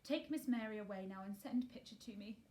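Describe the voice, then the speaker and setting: A troubled, weary gentleman, played by a woman reader from a storybook. He speaks formally and emphatically, halting at times, with the air of a wretched guardian. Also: mid-range voice, natural voice. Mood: commanding, tired.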